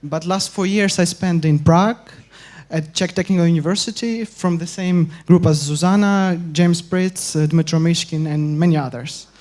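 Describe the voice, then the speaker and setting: Young man from ukraine, conference talk, halting delivery, dark mellow tone. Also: a little high. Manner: halting, many filler pauses and restarts. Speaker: conference speaker.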